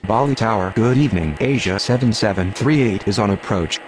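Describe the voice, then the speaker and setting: A man's voice: fairly low pitch, fairly quick, lightly acted. Procedural man acting an air traffic radio voice, noisy. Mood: businesslike. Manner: formal, procedural. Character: procedural male pilot and air traffic controller in a game. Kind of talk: clipped aviation radio phraseology.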